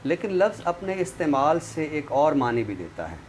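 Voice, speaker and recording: A man's voice: on the high side, sing-song, normal speed. A man explaining things in a didactic, conversational way, often checking that the listener follows. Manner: didactic, checks understanding with tag phrases. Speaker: literary expert guest. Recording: clearly noisy room recording.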